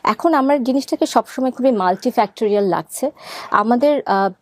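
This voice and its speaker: A woman's voice: on the high side, a little fast, no room sound. A middle-aged Bangladeshi woman speaking earnestly and reflectively as an interview guest, often switching into English. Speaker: interview guest, doctor and parenting content creator. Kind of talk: reflective personal talk in an interview.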